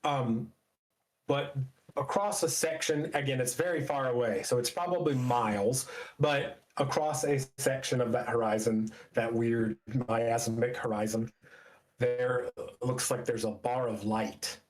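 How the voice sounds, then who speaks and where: A man working as a game master, improvising descriptive narration in a halting, conversational way. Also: mid-range voice, steady tone.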